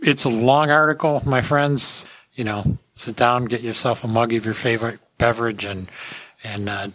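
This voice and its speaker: A man's voice: mid-range voice, steady tone, normal speed, fairly bright tone. A man hosting a podcast with casual industry commentary, speaking to listeners in a folksy way. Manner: folksy, addressing listeners as friends.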